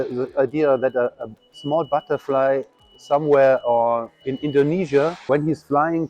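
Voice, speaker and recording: Man, 50s, graffiti artist; reflective and halting, dark mellow tone, faint music behind. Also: mid-range voice. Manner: reflective, halting, non-native phrasing. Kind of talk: interview answers. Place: at an art show.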